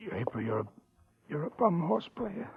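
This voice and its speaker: Fading man, very raspy, high swooping strain, halting, whispery, vintage radio thriller. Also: big pitch swings, dry recording, heavily acted. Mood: weak, grudging. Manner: halting, broken phrases. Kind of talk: 1940s radio acting. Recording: tinny vintage radio recording.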